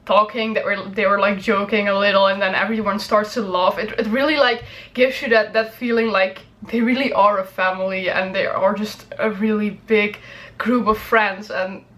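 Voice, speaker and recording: Casting: woman reaction vlogger, mid-pitched, informal, rambling and hedging delivery. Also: steady tone, normal speed, fairly bright tone. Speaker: YouTube reaction video host. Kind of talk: casual chat to viewers.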